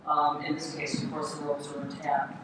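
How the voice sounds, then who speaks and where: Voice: mid-range voice, monotone, normal speed. A presenter giving a hands-on demonstration to an audience, explaining and naming each part step by step. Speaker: presenter, window restorer. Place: large echoey room in a city hall. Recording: echoey hall, distant, noisy live event recording.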